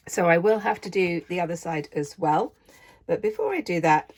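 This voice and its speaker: A woman with a croaky voice, chatting casually through a painting tutorial and gently encouraging the viewer. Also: fairly low pitch. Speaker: art tutor.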